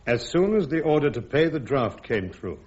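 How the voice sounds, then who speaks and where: An actor playing a proud, dryly sarcastic British army major on trial, speaking in a clipped, emphatic way in a radio drama. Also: male voice, mid-range voice, big pitch swings, normal speed, a little mellow, natural voice. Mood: wry, insistent. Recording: dull, lo-fi radio drama recording.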